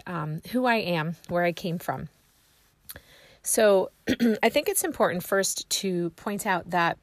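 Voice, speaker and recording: A woman with a raspy, hoarse voice from a cold, leading a prayer and then sharing her personal testimony softly and hesitantly. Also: lowish voice, no echo. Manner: soft, hesitant, full of ums and restarts.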